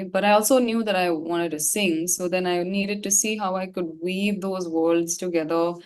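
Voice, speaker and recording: A woman's voice: on the low side, darkish tone. A young adult woman, speaking casually and reflectively as an interview guest, with informal, slangy asides. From India.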